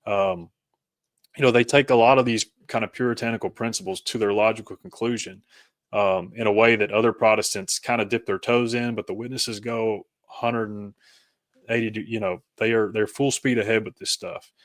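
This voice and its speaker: Slightly low-voiced man, halting and reflective. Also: steady tone, dry recording, clean studio sound. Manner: halting, searching for words, repeats phrase starts. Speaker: podcast guest, author. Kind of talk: reflective interview conversation.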